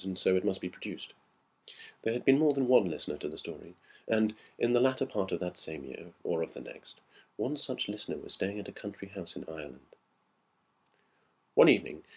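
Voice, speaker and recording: A man narrates an old-fashioned ghost story as a scripted reading. His voice sits very low and has a husky grain. The delivery is measured and dramatic. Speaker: audiobook narrator.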